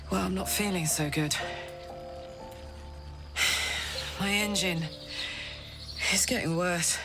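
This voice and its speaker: A woman playing an ailing, tough soldier in a video game, speaking frankly and casually with a weary, sighing manner. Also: mid-range voice. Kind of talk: naturalistic video game acting. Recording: loud music behind the voice. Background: loud music.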